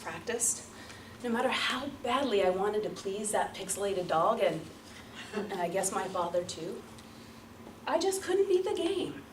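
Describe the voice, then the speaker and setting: Female public speaker, theatrical storyteller with very lively intonation, picked up from a distance with light noise. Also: fairly high pitch. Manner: theatrical, emphatic, with deliberate repetition and pauses. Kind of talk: rehearsed dramatic storytelling speech to an audience. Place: room before an audience. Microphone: distant microphone. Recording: roomy, distant mic, light background noise.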